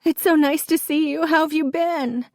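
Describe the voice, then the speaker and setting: An overwhelmed mother voiced by a female narrator speaks high and tearfully. She sounds flustered and apologetic, on the verge of sobs, in a dry audiobook recording. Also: takes it slowly, darkish tone, no room sound. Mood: sobbing, flustered. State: on the verge of sobbing.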